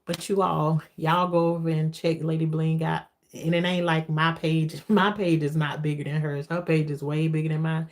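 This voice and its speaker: A woman with a deep voice chatting casually as a livestream host, testifying and repeating phrases for emphasis. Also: flat delivery, moderate pace, few pauses.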